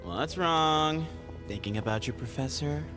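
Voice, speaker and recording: An actor playing a sly, easygoing young desert guide in a game, teasing and nonchalant with a creaky edge. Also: male voice, fairly high pitch, unhurried, bright, forceful tone, soft background music. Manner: teasing, nonchalant, smooth-talking.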